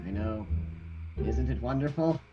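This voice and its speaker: A man's voice: unhurried, close to an everyday voice. An actor playing a cheerful grown man who believes he is a president, in an amateur audio drama. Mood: hearty. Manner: booming, cheerful declarations. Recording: dull lo-fi recording with music.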